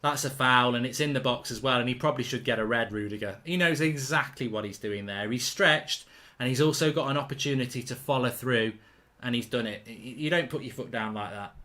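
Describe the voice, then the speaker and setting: A man's voice: mid-range voice, fairly quick, few pauses, pushed and bright. A man hosting a live football watchalong. He reacts to the play, reads out viewer messages and talks in a blunt, chatty way with mild swearing. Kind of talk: live match watchalong commentary reading viewer chat.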